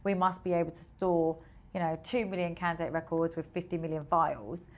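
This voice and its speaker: A woman's voice: on the low side, steady tone, brisk. A woman speaking casually as a founder being interviewed, telling things as stories and acting out quotes. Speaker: tech founder interviewed as guest.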